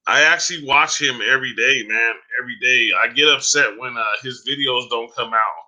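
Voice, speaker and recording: A man hosts a casual live stream and talks straight to his viewers, with lots of fillers and "man" at the ends of lines. His voice is very bright and tense, and it is getting hoarse after a long time on air. He has a mid-range pitch and rambles loosely from one point to the next. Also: a little fast, poor audio. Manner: addresses viewers by name, says "man" often. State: voice going hoarse.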